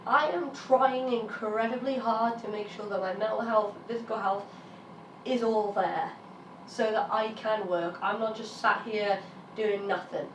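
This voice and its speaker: A British woman in her thirties, a little raspy from illness, talking frankly and emphatically to her vlog audience. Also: mid-range voice, fairly even intonation, a little slow, slight background noise. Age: 30s. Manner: frank, emphatic, swears when frustrated. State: raspy while getting over an illness. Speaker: vlogger. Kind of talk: candid personal vlog monologue. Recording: roomy sound from a distance, faint ambience.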